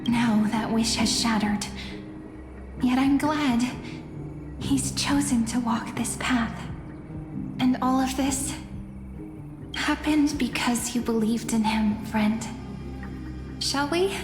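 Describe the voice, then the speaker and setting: A gentle, earnest village girl in a fantasy game. Her speech is slightly high and unhurried, with a tender, wistful manner, echoing over music. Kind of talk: dramatic scripted game acting. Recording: echoey long reverb under music.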